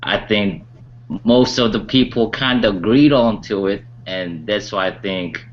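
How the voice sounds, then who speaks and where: Man, fairly deep, informal co-host chat, muffled, some background noise. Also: unhurried. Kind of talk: casual panel chat. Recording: dull audio with background noise.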